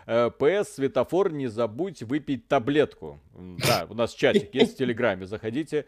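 A man's voice: medium pitch, animated, moderate pace, no echo, studio quality. A man co-hosting a stream, reading viewer messages aloud in a loose, casual way, swearing now and then and trailing off. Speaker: stream co-host. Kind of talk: casual chat reading donations.

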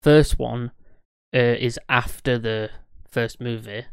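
A man's voice: medium pitch, steady tone, slow pace, clean tone, no room sound, studio quality. A man co-hosting a podcast in casual chat, eager and rambling, often correcting himself mid-sentence. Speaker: podcast co-host.

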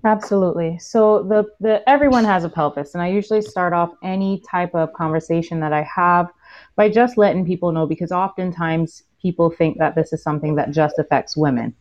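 Lower-voiced woman on a dull remote line. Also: fairly quick, darkish tone. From Barbados. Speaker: guest, physical therapist. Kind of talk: explaining in an interview.

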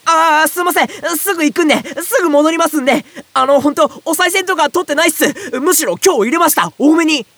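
A woman playing an excitable, scatterbrained young boy who complains loudly in an audio drama. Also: high voice, fast, hardly any pauses, no room sound. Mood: flustered. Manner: exclamatory, rambling comic complaints. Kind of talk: audio drama acting with first-person narration.

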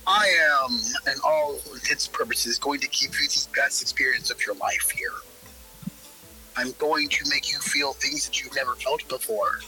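An actor playing a zealous, bloodthirsty vampire cult high priest in an improvised audio drama, with halting, relishing speech. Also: bright, forceful tone, full character voice, low-quality recording. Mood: coaxing, menacing. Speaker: roleplayer in an interview audiodrama.

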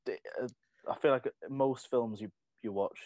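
Male co-host, halting delivery, opinionated, dry close mic. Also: mid-range voice, darkish tone, dry recording, muffled recording. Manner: hesitant, lots of restarts, opinionated. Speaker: podcast co-host. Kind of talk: casual podcast chat.

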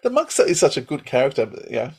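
A man with a husky, slightly rough voice of medium pitch co-hosts a casual podcast. He talks hesitantly, circling back over his phrases as he thinks aloud. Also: wildly swooping pitch, fast. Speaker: podcast co-host.

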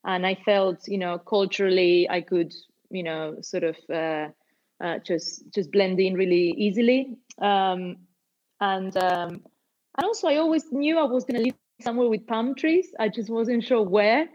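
A woman's voice: medium pitch, a little slow, muffled recording. A woman in her forties, originally from Argentina, chatting casually as an interview guest with lots of fillers and restarts. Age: 40s. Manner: warm, rambling with many fillers and restarts. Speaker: guest musician and label owner.

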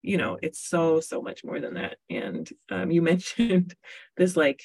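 Woman podcast host, chatty and laughing, raspy with some fry in a lowish voice; dry close-mic sound. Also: unhurried. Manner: warm chatty, laughs often, many fillers. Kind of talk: casual interview.

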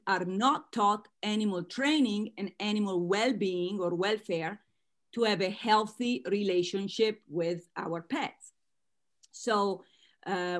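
A woman speaking English with an Italian accent gives answers as a psychologist guest in an interview. She talks earnestly and breaks into small laughs between her points. Her voice sits at a middle pitch for a woman. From Italian American.

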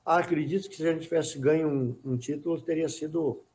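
A man's voice: a little high, fairly even intonation, a little fast, a little mellow. A middle-aged former footballer as a podcast guest, talking in a casual, hesitant way and often repeating words while he thinks. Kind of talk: casual interview chat.

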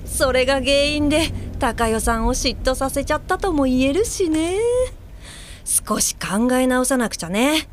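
A woman's voice: high voice, animated, a little slow, slight background noise. A woman acting in an audio drama as an earnest, self-reflective guide helper, who also narrates in the first person. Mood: pensive, regretful. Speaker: lead actor and narrator.

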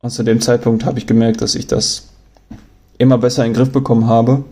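A young man from Germany talking on his own in a relaxed, rambling way, often pausing with fillers and restarting sentences. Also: medium pitch. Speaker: podcast host. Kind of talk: casual spoken monologue.

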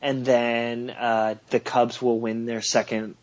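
A man on a somewhat muffled, lo-fi line, joking through a sports chat and trading wild predictions. He restarts his sentences often, at an everyday mid pitch. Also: little pitch movement, slow pace. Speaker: podcast co-host.

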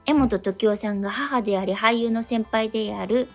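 A woman's voice: on the high side, normal speed. A woman co-hosting a radio show, reading listener letters and chatting attentively. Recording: music behind the voice. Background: background music.

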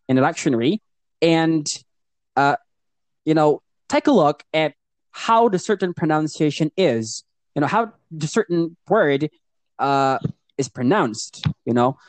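Higher-voiced man, dry close sound. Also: no room sound. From Indonesia. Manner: mixes Indonesian and English, many fillers and restarts. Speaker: podcast co-host. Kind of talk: casual bilingual podcast chat.

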